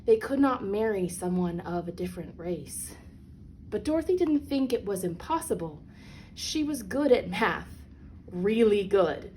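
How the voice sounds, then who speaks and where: A woman reads a children's picture book aloud as a storyteller, with deliberate, emphatic phrasing for young listeners. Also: mid-range voice, big pitch swings, darkish tone. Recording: clearly noisy background.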